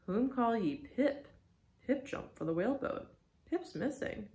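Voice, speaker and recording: A pitiful young cabin boy, played by a woman, half out of his wits, babbling in a high, sing-song way. The room echoes around him. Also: lively intonation, a little slow, warm tone. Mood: frantic, crazed.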